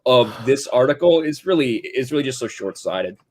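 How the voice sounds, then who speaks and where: A man's voice: mid-range voice, lively intonation, normal speed, a little mellow. A man giving casual commentary in an earnest, explanatory way. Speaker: online video commentator.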